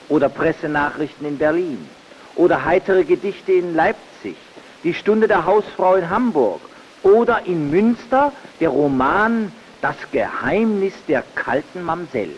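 An actor playing an eager husband at the radio in an old sketch, chatty and excitable. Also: male voice, fairly high pitch, a little slow. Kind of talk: 1920s radio sketch acting. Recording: dull hissy archive recording.

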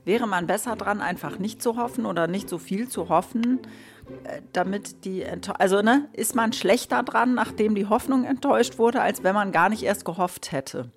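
A woman's voice: medium pitch, moderate pace. A woman from a theatre team, talking casually in an online rehearsal from home. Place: home office on a conference call. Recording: noisy home conference-call audio, clicks.

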